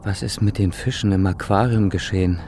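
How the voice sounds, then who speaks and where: A man's voice: low-pitched, fairly even intonation, brisk, darkish tone, a little hiss or hum. An actor playing a brooding, insistent man in a radio drama. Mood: troubled.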